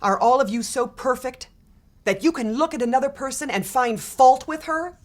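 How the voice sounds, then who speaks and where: A woman's voice: high voice, lively intonation, normal speed, pitched above the performer's own voice. A woman voicing a kind, elegant male schoolteacher who whispers praise and sternly scolds a teasing class. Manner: hushed admiring whisper, then stern rebuke.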